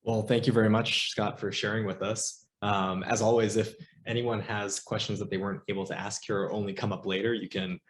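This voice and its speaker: Male host, low flat voice, relaxed casual moderating, video call. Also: brisk, few pauses. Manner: relaxed, laughs easily. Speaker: session host.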